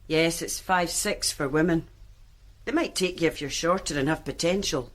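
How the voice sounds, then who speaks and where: A composed female police detective in a radio play. Her voice sits fairly low for a woman, and she asks each question in a measured, probing way. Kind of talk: radio drama acting.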